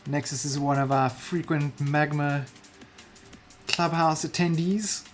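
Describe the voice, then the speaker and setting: A man's voice: a little high, steady tone, slow, faint music behind. Chatty South African man painting on stream. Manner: chatty, self-deprecating, muttering to himself as he works. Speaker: livestreaming digital painter. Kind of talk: casual chat while painting on stream.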